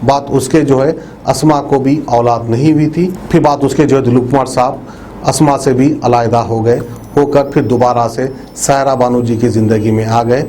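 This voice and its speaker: A man with a dark, mellow voice at an ordinary pitch delivers a news tribute straight to viewers. He explains in a conversational, repetitive way, leaning on habitual filler phrases. There is noticeable background noise behind him. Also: fairly even intonation, normal speed. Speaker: news presenter. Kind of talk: narrated obituary tribute report addressed to viewers.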